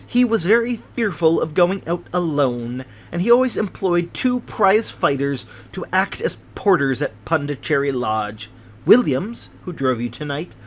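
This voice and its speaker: A fussy, nervous gentleman with refined airs, as a male reader puts him on. He speaks in a prim, precious, fretful manner, in a slightly high voice. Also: full character voice. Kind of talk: audiobook character voice.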